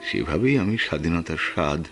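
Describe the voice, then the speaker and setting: Deep-voiced brooding war veteran. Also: male voice, expressive, a bit bright, soft background music, exaggerated voice. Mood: proud, resolute. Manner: earnest, halting, reflective. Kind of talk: Bengali radio drama acting.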